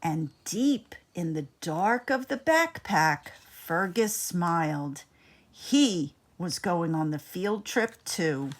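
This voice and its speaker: A woman reading a children's story aloud in an expressive, deliberate narrating style. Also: fairly low pitch. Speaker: storybook reader.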